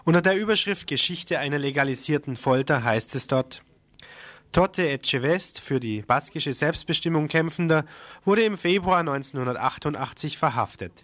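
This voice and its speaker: A man presenting radio news, reading a prepared report in a formal, measured manner. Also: on the high side, expressive, a bit bright, no room sound.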